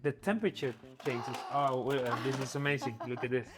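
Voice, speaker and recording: A man's voice: medium pitch, fairly even intonation, fairly quick, a crowd in the background. A man who is a guest chef, chatting casually while cooking in a kitchen, often restarting and repeating words as he talks enthusiastically about food.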